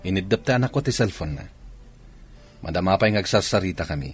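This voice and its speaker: A man's voice: low voice, animated, fairly quick, slightly rough, soft background music. A man with an Ilocano accent playing a married army commander in a radio drama. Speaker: soldier husband and father.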